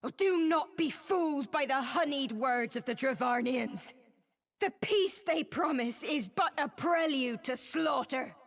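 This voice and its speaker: A woman's voice: sits high, measured pace. An actress playing a zealous, grief-stricken rebel woman in a fantasy game, speaking in impassioned, declamatory oratory. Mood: fervent rallying.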